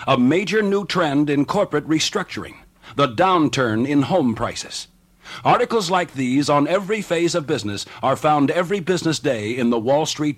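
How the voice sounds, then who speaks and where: A man reading a scripted radio commercial as an announcer. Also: a little high. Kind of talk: scripted radio advertisement read.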